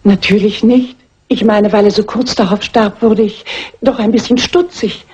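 A woman playing a timid, frightened lady companion in a crime drama, hesitant and apologetic, with a husky, breathy voice. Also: medium pitch, fairly even intonation, normal speed, darkish tone, lightly acted. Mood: anxious, timid. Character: timid, frightened lady companion confiding what she overheard. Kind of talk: German crime film dub acting. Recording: dull, lo-fi old film soundtrack.

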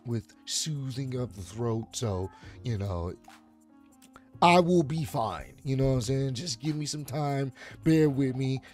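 A man in his thirties with a hoarse voice, hosting a casual reaction video from a home streaming room on a studio mic, chatting easily and breaking into loud laughs and shouts. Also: medium pitch, expressive, a little slow, soft background music. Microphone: studio mic on arm, headphones.

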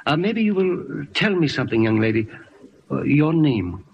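しわがれた老中国人店主. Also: male voice, fairly high pitch, big pitch swings, moderate pace, vocal fry, lo-fi sound. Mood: gentle, courteous. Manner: hesitant, gentle, formal. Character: old Chinese restaurant owner, courteous, gently philosophical. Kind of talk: old-time radio acting. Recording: noisy vintage radio recording.

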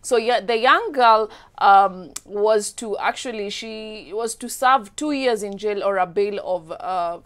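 A woman's voice: medium pitch, takes it slowly, few pauses. A young Kenyan woman hosting a studio chat show, telling a news story in a chatty way with restarts. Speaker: host. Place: bright TV studio set.

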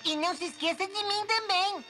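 A woman voicing a tiny, loyal, childlike wizard creature in a dubbed cartoon, with a squeaky voice. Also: a little fast, music in the background. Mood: cheerful. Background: dramatic cartoon score.

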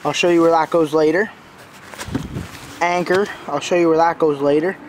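A man giving a casual, unscripted walkthrough as an amateur reviewer, talking in a halting, informal way outdoors on a handheld phone. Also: on the high side, fairly even intonation, low-quality recording. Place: outdoors in a backyard. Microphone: handheld phone camera. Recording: outdoor phone recording with clear background noise. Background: passing cars.

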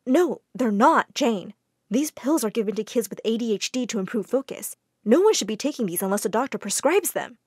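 A woman's voice: a little high, expressive, brisk, husky, no room sound, studio quality. A woman doing an earnest school counselor. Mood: concerned. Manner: earnest, direct.

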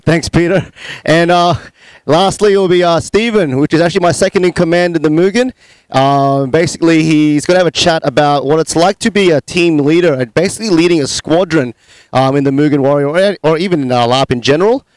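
Young man, host, smooth clean voice, dry close sound. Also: on the high side, brisk, no echo, poor audio. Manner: hesitant, many "uh" fillers. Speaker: larp club leader, host. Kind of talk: casual group interview. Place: outdoors at a convention, sunny courtyard. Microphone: handheld mic.

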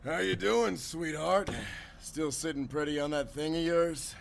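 A man's voice: fairly high pitch, a little slow, vocal fry, slight background noise. A man playing a mocking, condescending, swaggering kidnapper henchman in an audio roleplay. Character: taunting, cocky male kidnapper henchman guarding a captive.

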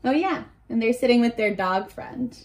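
A woman in her twenties reading aloud and teaching young children in an animated, encouraging way, pausing to ask questions, recorded at arm's length indoors. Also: on the high side. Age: 20s. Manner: animated, encouraging, asks questions and pauses for answers. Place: small furnished bedroom, indoors.

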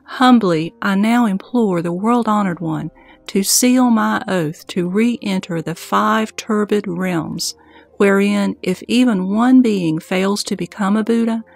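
A woman recites devotional Buddhist verse very slowly and reverently. Her mid-pitched voice has a clear creak to it, and music plays behind her. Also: no room sound. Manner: solemn, reverent, deliberate.

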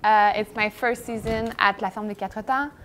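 A woman's voice: medium pitch, steady tone, moderate pace, slight background noise. A woman with a French accent introducing herself briefly and apologetically at a talk. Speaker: farm worker. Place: large indoor hall with audience.

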